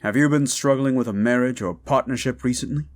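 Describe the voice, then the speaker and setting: A man's voice: mid-range voice, a little fast, close to an everyday voice. A man acting a confident, scholarly folklorist who explains things with assurance in an audio drama. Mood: grave, explaining.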